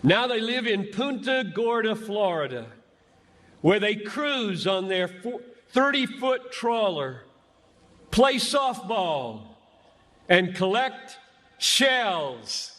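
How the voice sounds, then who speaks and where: A man preaches in a high, bright, forceful voice. He speaks slowly and emphatically, pleading and repeating phrases for dramatic weight. Long reverb from a large hall surrounds his words. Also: sing-song. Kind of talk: impassioned sermon to a large gathering.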